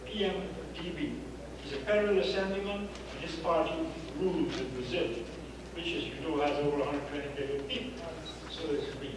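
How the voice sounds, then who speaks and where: A man from Guyana speaks high and formally at a conference, slipping in wry jokes between the letters he reads. He sounds distant, caught far from the mic. A loud echo fills the hall around him, with steady noise underneath. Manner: wry, joking asides to the audience. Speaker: conference speaker reading messages. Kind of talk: formal address, reading letters aloud.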